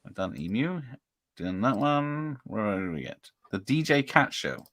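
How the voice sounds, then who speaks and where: A man's voice: fairly low pitch, sing-song, measured pace, fairly bright tone, no echo. A British man hosting a casual chat, offering brief prompts and short remarks. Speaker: host.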